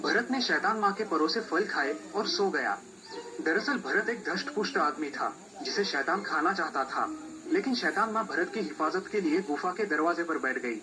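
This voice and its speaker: A man's voice: on the high side, animated, normal speed, poor audio. A man narrating a scripted children's folk tale as a storyteller. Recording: music behind the voice.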